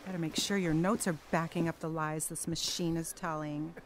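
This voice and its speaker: An American woman playing a columnist's sharp-tongued, mock-indignant wife in an audio drama. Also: low-pitched, level tone, moderate pace, slight background noise, natural voice. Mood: sly, challenging.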